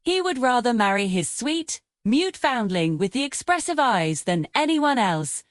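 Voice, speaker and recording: A woman storyteller narrating a scripted fairy tale in a measured, dramatic way. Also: fairly high pitch, big pitch swings, dry recording, studio quality.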